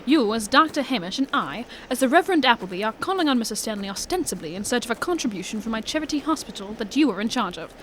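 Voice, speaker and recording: A shrewd gentleman detective played by a woman, pitched on the high side. The phrasing is brisk, genteel and old-fashioned, in amateur audio drama style, over a noisy recording. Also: lively intonation, close to an everyday voice. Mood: assured, wry.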